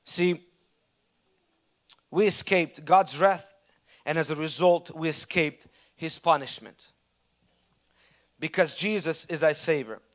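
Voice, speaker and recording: High-voiced preacher with a Russian accent. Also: male voice, takes it slowly, pauses often. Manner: animated storytelling preacher with frequent restarts. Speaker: pastor. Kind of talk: sermon.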